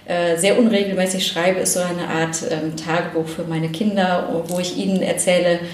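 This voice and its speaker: A woman's voice: fairly low pitch, little pitch movement, moderate pace, a little hiss or hum. Thoughtful woman guest, echoey room. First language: German. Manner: thoughtful, fluent with frequent fillers. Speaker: guest, press spokesperson. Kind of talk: casual interview answers.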